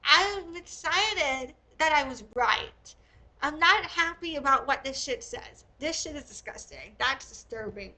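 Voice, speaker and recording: A woman's voice: high-pitched, expressive, a little slow, pushed and bright, rough recording. A woman reading aloud and commenting as a host, in a blunt, opinionated, casual way. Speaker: host reading and critiquing a historical text.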